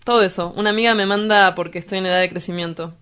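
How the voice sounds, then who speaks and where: A woman's voice: medium pitch, steady tone, quick pace, a bit bright, smooth, natural voice. Offhand Argentine bohemian outcast, acted by a woman. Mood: offhand, wry. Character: cynical, evasive young male bohemian outcast. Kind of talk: dramatized dialogue reading.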